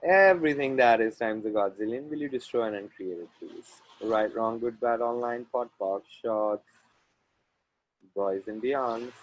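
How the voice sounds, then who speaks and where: An unhurried man with an Indian accent at a middling pitch leads an online group session over a video call. He repeats his phrases slowly and deliberately, almost like a chant, sitting outdoors by the sea. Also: dull audio. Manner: slow, deliberate, chant-like repetition. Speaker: workshop facilitator hosting an online call. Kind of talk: guided group facilitation with repeated clearing phrases. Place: outdoors near the ocean.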